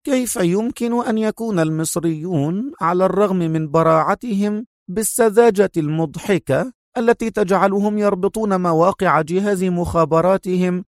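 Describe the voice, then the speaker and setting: A haughty, analytical woman operative from a spy story , acted out by a male narrator. The pitch sits well up and the delivery is cool and decisive. The sound is dry, with no room around it. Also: no room sound, natural voice.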